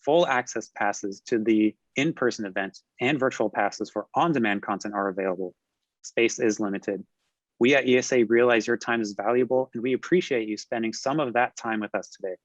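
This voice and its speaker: A man moderating a business webinar, smoothly reading scripted announcements and introducing the speaker. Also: on the low side, brisk, no echo, dull audio.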